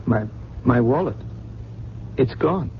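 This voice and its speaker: An actor playing a lost, polite, well-bred gentleman, speaking hesitantly and earnestly in a 1970s radio drama. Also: medium pitch, animated, slow. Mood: bewildered. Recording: muffled, faintly noisy vintage radio recording.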